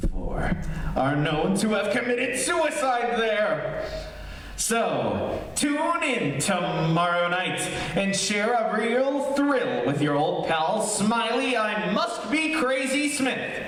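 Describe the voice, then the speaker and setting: A man acting a zany, excitable disc jockey prankster in an old-time radio play, with a showy, teasing delivery. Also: high voice, drawn-out words, slight background noise, full character voice. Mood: hammy excitement. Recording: distant, very echoey stage, loud music.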